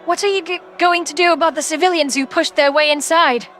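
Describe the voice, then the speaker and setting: A woman's voice: high-pitched, fairly even intonation, a little fast, a bit bright, faint music behind, voice pushed up. A woman voicing a wary tentacled alien guard, nervous and hesitant yet defiant.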